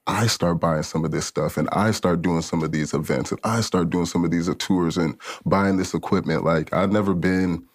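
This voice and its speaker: A man in his late twenties from Africa, a studio owner telling his story in a relaxed, rambling way as a podcast guest, full of slang and fillers. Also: low-pitched, normal speed, few pauses, slightly rough. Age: late 20s.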